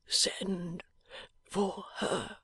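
A weak young gentleman near death, as a woman reader puts him on, his words barely breathed out in a husky whisper. He speaks slowly and haltingly, straining to get each phrase out. The sound is close and dry. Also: mid-range voice, little pitch movement, dry recording, pitched above the performer's own voice, exaggerated voice. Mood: faint whisper. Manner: faint, halting, urgent. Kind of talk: audiobook character voice.

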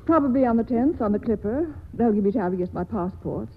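A woman's voice: a little high, lively intonation, fairly quick, breathy edge. An actress playing a wealthy, hurt woman who reproaches a man and says goodbye, in the style of 1940s radio acting. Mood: reproachful. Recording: tinny old radio broadcast with faint hiss.

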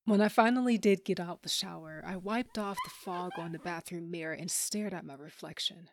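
A woman's voice: on the low side, normal speed, background noise, lightly acted. An actress playing a grieving, bitter widow who hunts monsters, telling her story in an intense audio drama. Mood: bitter, numb. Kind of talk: first-person audio drama narration with acted scenes.

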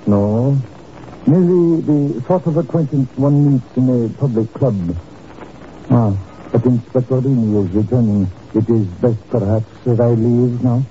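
An actor as a courtly, secretive rajah, muffled. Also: male voice, mid-range voice, mellow, a little hiss or hum, lightly acted. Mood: smooth, guarded. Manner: courtly, formal, measured. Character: suave, secretive Indian rajah with veiled menace. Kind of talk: 1950s radio drama acting. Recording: muffled old radio recording, faint music.

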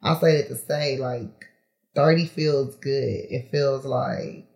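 A woman's voice: a bass voice, steady tone, slow pace, creaky, a little mellow, roomy sound. A woman in her thirties talking candidly as a podcast guest, chatty and casual, with lots of fillers and frequent laughs. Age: 30s. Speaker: podcast guest, reality TV personality. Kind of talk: casual interview chat.

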